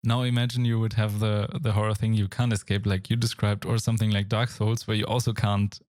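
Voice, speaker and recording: A man's voice: fairly low pitch, level tone, brisk, darkish tone, no room sound, studio quality. A young German man with a German accent, co-hosting a casual games podcast in a thoughtful, searching way. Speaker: podcast co-host. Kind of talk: casual chat.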